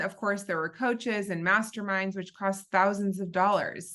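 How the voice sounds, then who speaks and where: A woman's voice: lowish voice, bright, forceful tone. An American woman in her thirties, from Southern California, answering conversationally as a guest in a podcast interview. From Southern California, born in Israel. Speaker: podcast guest, business founder.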